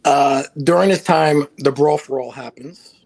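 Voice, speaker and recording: A man's voice: on the high side, a little slow, a little mellow, low-quality recording. A middle-aged man hosting a podcast, asking his guest questions into a studio microphone. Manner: attentive, frequent short affirmations. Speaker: podcast host. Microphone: headset and studio microphone.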